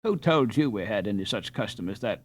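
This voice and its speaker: A man voicing an eager, excitable English schoolboy with exclamatory delivery in a storybook reading. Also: lowish voice, brisk, pitched below the performer's own voice. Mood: bemused. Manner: exclamatory, animated.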